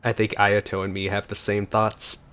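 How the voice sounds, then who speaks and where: A man giving casual gameplay commentary, musing aloud in halting phrases as he plays. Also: lowish voice, monotone, noisy background. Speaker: let's play streamer. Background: game music.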